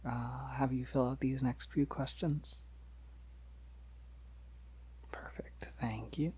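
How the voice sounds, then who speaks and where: A man playing a polite, gentle vampire in an ASMR roleplay, speaking softly and hesitantly, often breathy and near a whisper. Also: mid-range voice, fairly even intonation, unhurried, slight background noise, natural voice. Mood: sheepish, polite. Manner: hesitant, reassuring, softly chuckling. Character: polite, awkward, gentle young male vampire. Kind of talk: ASMR roleplay, soft-spoken and intimate.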